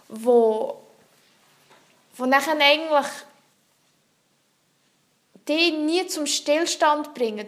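Young female student, high voice, French; earnest and explanatory, searching for words in an interview. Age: young adult. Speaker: psychology student. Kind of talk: answering interview questions.

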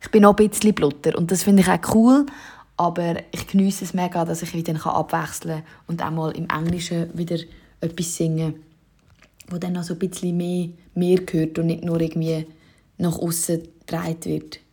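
A woman's voice: fairly low pitch, flat delivery, normal speed, darkish tone, husky. A young woman with a Swiss German accent, a singer-songwriter casually answering interview questions with many fillers.

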